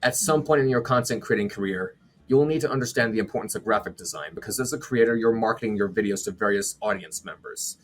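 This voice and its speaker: A man's voice: lowish voice, fast. Young man hosting a casual podcast. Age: young adult. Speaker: podcast host.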